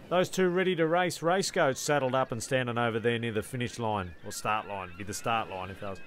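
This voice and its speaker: A man's voice: medium pitch, a little fast, fairly bright tone, lightly acted. A man playing a laid-back castaway, the dry, matey straight man of a comedy sketch. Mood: dry, casual. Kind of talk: conversational scripted comedy.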